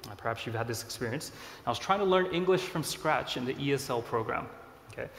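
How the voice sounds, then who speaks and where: Pastor guy in his 30s chatting through his sermon, mid pitch, big echoey church. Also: lively intonation, fast, vocal fry, a bit bright, slight background noise. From Korea. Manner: conversational, self-deprecating preaching with asides. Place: church sanctuary.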